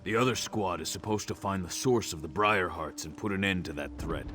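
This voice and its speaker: An actor playing a gruff, weary orc siege commander in a video game, briefing in a blunt, sardonic way. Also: male voice, low voice, brisk, pushed and bright. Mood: businesslike, commanding. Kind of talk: video game character dialogue. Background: music.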